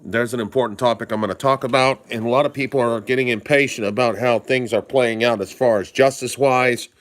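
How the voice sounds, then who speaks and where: Hoarse, stuffed-up man live-streaming. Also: mid-range voice, steady tone, fairly quick. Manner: rambling, repeats himself, greets viewers by name. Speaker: livestream host. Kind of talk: casual live-stream commentary.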